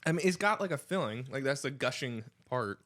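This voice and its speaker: A man's voice: mid-range voice, animated, a little fast, fairly bright tone, no room sound. A young man hosting a podcast in a casual, chatty style. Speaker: podcast host.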